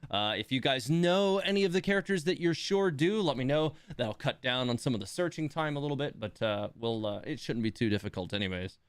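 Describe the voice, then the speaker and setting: Clear-voiced man chatting on a livestream. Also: medium pitch, expressive, brisk, hardly any pauses, no echo. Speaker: game livestream host. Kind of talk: casual livestream chat to viewers.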